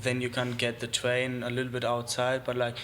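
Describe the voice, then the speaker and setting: Young guy, german native speaking english, flat even voice, lots of "like", bit of studio hiss. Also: mid-range voice. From Germany and Spain. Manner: loose, halting, heavy use of "like" and swearing. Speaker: guest, BMX rider. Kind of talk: casual interview.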